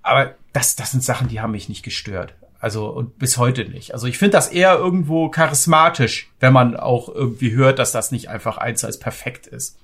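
A man's voice: mid-range voice, expressive, a little fast, fairly bright tone. A man co-hosting a music podcast, chatting casually about metal in a rambling, explanatory way.

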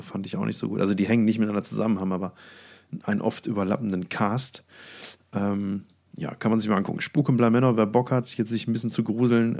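A man's voice: on the low side, gravelly, a little mellow. A man co-hosting a podcast, chatting casually and often hesitating as he searches for words. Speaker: podcast co-host. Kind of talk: casual chat.

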